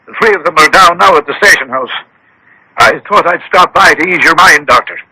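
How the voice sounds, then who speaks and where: A high-set, very forceful male voice with a rough edge. a brusque, no-nonsense police lieutenant in a radio mystery, blunt and clipped. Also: a little fast, heavily acted, poor audio. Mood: brisk.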